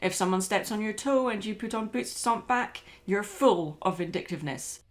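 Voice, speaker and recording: A woman's voice: medium pitch, moderate pace. A woman hosting and narrating a scripted video essay.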